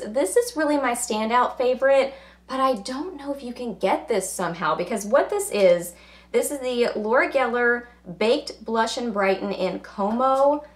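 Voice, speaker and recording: A woman, hoarse from losing her voice, chatting casually through a makeup review and rambling with lots of filler words. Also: fairly high pitch. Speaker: beauty vlogger.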